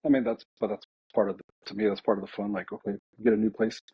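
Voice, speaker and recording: A man's voice: fairly low pitch, little pitch movement, quick pace, slightly rough, no echo. An American man in casual podcast conversation, talking as an air traffic controller in an informal, hesitant, reflective way. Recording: slightly dull, lo-fi voice track.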